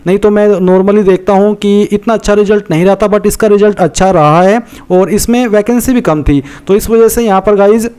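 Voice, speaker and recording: A man's voice: high-pitched, darkish tone, smooth. An Indian man hosting an informal explainer for viewers, talking conversationally and repeating his points, with English words mixed in. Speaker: YouTube host giving exam information.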